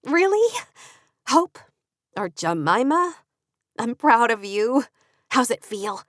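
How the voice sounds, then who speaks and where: Pushy best friend, blunt and teasing, higher and louder than the narrator as read by a woman; bright, lively delivery, dry close read. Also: slow pace, no room sound.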